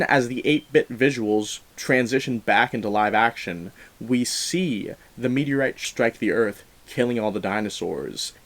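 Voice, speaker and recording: A young man hosting a film review, talking in a conversational, wry and emphatic way through semi-scripted commentary. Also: mid-range voice. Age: young adult.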